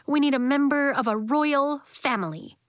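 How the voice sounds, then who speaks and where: Woman voicing an indignant, high-pitched young girl. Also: animated, moderate pace, sharp tone, no room sound, clean studio sound. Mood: tight, formal. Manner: emphatic, insistent.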